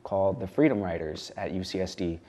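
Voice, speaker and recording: Low-voiced thoughtful man in his thirties. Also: dark tone. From United States. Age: 30s. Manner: thoughtful, measured, personal. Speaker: guest poet and educational consultant. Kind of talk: reflective interview answers. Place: television studio.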